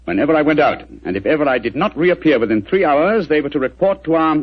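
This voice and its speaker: An actor playing a brilliant, calm detective with dry wit in 1940s radio acting, speaking in a crisp, precise manner over a dull old radio broadcast. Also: male voice, fairly high pitch, quick pace. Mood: brisk.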